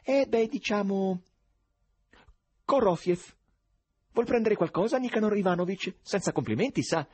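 Slippery skinny chatterbox for an audiobook, shrill wobbly tenor, raspy, swooping everywhere, super gushy. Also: male voice, expressive, measured pace, long pauses, a little mellow, no room sound, heavily acted. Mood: gushing, unflappable. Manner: gushing, wheedling, exclamatory. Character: effusive, slippery, unflappable skinny male stranger, fawning chatterbox.

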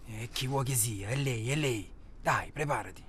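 An actor as a cold-blooded man who runs hunts, a forceful, sharp voice. He gives terse, impatient orders in touches, in a naturalistic radio drama style. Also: medium pitch, moderate pace, a little hiss or hum, lightly acted. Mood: terse, urging. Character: hard, bossy, cold-blooded male safari organizer.

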